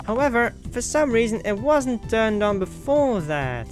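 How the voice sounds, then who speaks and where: Smug, breezy game master for a visual novel, higher voice, teasing and taunting, put on by a streamer. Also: big pitch swings, unhurried, soft background music.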